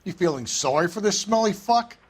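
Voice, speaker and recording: An actor playing a gruff, cynical 1940s police detective partner in a video game, blunt and scornful. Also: male voice, high-pitched, lively intonation, a little fast. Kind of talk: dramatic game acting. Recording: loud vehicle and ambient noise.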